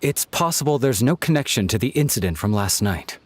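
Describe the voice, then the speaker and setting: A man's voice: mid-range voice, lively intonation, a little fast, slight background noise, lightly acted. A man playing a dutiful, deferential detective reporting formally to his superior in a video game.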